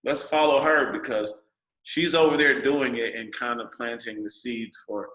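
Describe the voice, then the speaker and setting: A man's voice: medium pitch, steady tone, moderate pace, fairly bright tone. A middle-aged American man chatting casually as a podcast host, in an informal, slangy, playful way. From born in Washington State, raised in Germany. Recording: roomy, echoey podcast room.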